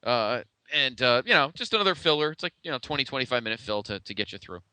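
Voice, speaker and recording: A man's voice: medium pitch, very animated, quick pace, bright, forceful tone, clear, resonant tone, no room sound. A man co-hosting a podcast in casual, conversational retrospective chat, with a blunt way of talking. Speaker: podcast co-host.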